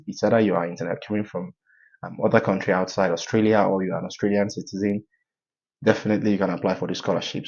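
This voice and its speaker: A man walking viewers through a form in a tutorial, giving advice in a halting way with many filler sounds. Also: sits low, a little mellow, husky, muffled recording. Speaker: scholarship advice YouTuber. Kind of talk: screen-share walkthrough tutorial.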